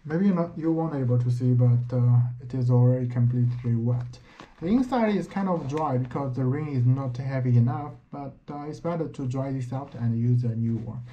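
A young man from China with a Chinese accent, speaking English as a second language in a casual motorcycle gear vlog with plain, practical phrasing and frequent pauses. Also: medium pitch. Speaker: motorcycle vlogger. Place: outdoors riding a motorbike in the rain, then a small room indoors. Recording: dull lo-fi audio with traffic noise.

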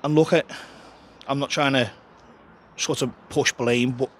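A man, a football manager giving a frank, chatty post-match interview outdoors by the pitch into a handheld mic, often restarting his sentences. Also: steady tone, a little slow, slight background noise. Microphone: handheld interviewer mic held close.

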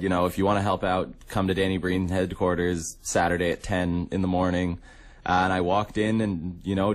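A young adult man from Canada chatting casually and a little haltingly as a radio host in a studio. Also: low-pitched. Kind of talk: casual chat.

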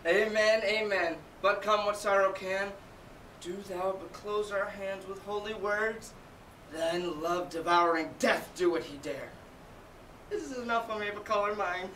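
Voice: medium pitch, unhurried, fairly bright tone, lightly acted. Actor playing an ardent young lover, echoey room. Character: ardent young male lover, earnest and courteous. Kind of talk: Shakespearean stage acting. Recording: echoey room, distant mic, faint noise.

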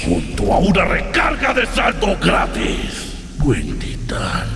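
A man with a Latin American Spanish accent voicing a giant, crude and jokey robot titan in a comic animated fan dub. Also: on the high side, slow pace, heavily acted. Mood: brash, joking. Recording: echoey hall, distant, music over it.

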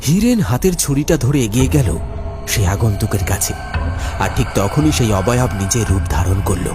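A man's voice: medium pitch, lively intonation, moderate pace, music in the background. A man narrating a scripted horror story in a theatrical, suspenseful way.

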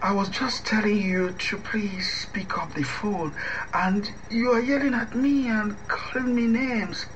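Brief: a man as a resentful, exhausted boyfriend, slow drawl, hissy recording. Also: high-pitched, steady tone. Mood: weary. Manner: weary, half-whispered, sulky complaints. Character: tired, resentful lover Yemi, fed up with lies. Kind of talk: radio drama acting. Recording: clear background hiss.

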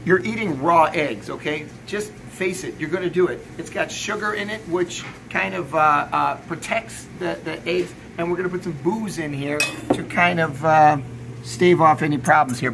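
A man's voice: on the high side, normal speed. A middle-aged man giving a casual cooking demonstration, chatty and emphatic, often checking in with the viewer. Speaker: chef presenting a recipe video. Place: indoor commercial kitchen or sandwich shop. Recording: clear background noise in working kitchen.

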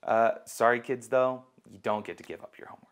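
A man's voice: medium pitch, a little fast. A man in his twenties giving a children's sermon to camera in simple, encouraging language. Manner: simple, encouraging, asks listeners questions. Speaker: children's ministry host and teacher.

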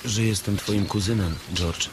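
A big, simple-minded farmhand in a radio drama, with a low voice and slow, childlike delivery. He sounds naive and docile, a little off the mic with birds behind him. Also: fairly even intonation, far from the mic, very noisy. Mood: meek, childlike.

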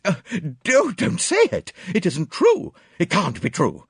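A man's voice: on the high side, huge pitch swings, unhurried, slightly rough, no room sound, studio quality, pitched above the performer's own voice, heavily acted. A man voicing a blunt, vehement Englishwoman with an emphatic, exclamatory manner in a storybook reading. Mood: distraught. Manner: emphatic, exclamatory, sharp-tongued. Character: blunt, vehement, agitated Englishwoman, fiercely indignant. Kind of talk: audiobook character dialogue.

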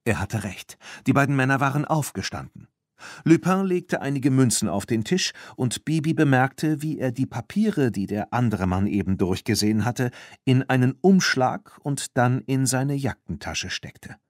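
Animated male audiobook narrator, dry studio sound. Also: medium pitch, moderate pace, no echo. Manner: animated, expressive storytelling. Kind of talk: scripted narration of a children's story.

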